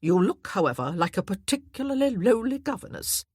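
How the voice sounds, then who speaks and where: A woman voicing an imperious elderly dowager countess who speaks bluntly and deliberately. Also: mid-range voice, sing-song, a little mellow, breathy edge, no room sound, clean studio sound, voice pushed up.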